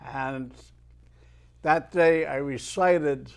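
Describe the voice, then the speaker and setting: An elderly Irish man with a fairly high voice speaks slowly and with care. He tells stories in an unhurried way, then recites verse with swelling emphasis. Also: vocal fry, smooth. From Ireland. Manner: measured storyteller who declaims verse with swelling emphasis. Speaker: guest, retired merchant mariner and poet. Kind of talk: unhurried storytelling and poetry recitation.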